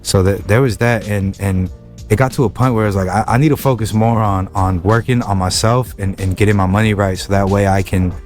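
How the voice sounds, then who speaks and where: A young man from Wilmington, California, a rapper chatting as a podcast guest, talking in halting bursts full of repeated words and restarts. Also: lowish voice, steady tone, darkish tone, faint music behind. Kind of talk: casual interview chat.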